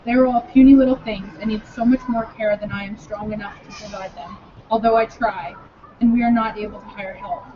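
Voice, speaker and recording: A woman at a filibuster, reading letters aloud in an earnest, measured way. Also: fairly high pitch, a little hiss or hum. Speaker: speaker at a filibuster. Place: state capitol building. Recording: dull lo-fi recording.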